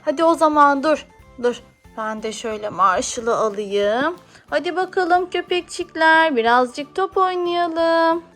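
A woman's voice: sits high, measured pace, fairly bright tone, faint music behind. A woman talking as a mother playing toys with her child, narrating the play in a coaxing, encouraging way.

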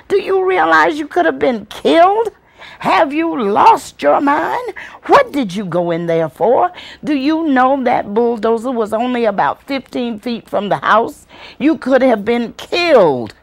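A woman's voice: medium pitch, a little slow, few pauses, mellow, slightly rough. A woman storyteller voicing a frightened, angry aunt who scolds sternly and hammers out exclaimed questions. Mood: frantic scolding. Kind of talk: dramatic oral storytelling.

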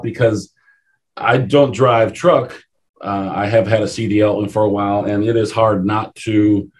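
A man hosting a radio interview, asking questions and affirming his guest. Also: on the low side. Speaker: radio show host.

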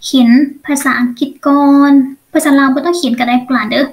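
A woman's voice: high-pitched, fairly even intonation, fast talker. A woman with a Lao accent teaching English in an online lesson, explaining step by step and often asking check questions.

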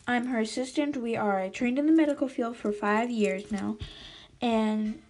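A woman's voice: on the high side, moderate pace, a little mellow, slight background noise. A woman improvising voiced characters in a toy role-play story. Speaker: role-play video creator.

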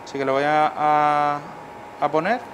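A man's voice: a little high, fairly even intonation, a little slow. A middle-aged man, a chef, walking through a dish step by step in a professional kitchen, often checking in with his listener. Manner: explains steps, checks in with "vale". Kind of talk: cooking demonstration. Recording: noisy kitchen ambience.

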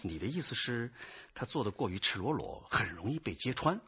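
An actor playing a patient, probing male police detective chief in a radio drama, with a husky voice. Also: mid-range voice, very animated, fairly quick, a bit bright, highs cut off, exaggerated voice. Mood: analytical.

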